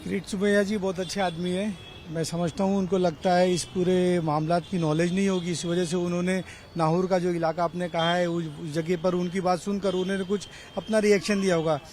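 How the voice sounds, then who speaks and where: A man with an Indian accent, a cleric, making a measured, courteous and formal statement in a street interview. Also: high-pitched, little pitch movement, a little mellow. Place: outdoors on a city street. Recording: outdoor street, faint traffic noise.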